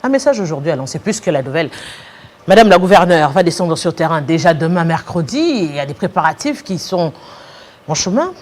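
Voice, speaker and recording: A woman hosts a TV interview, her lowish voice husky and lively in its rises and falls. She presses her guest assertively and holds the floor until she has made her point. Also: fairly quick. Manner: assertive, talks over the guest, insists on finishing. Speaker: TV talk show host.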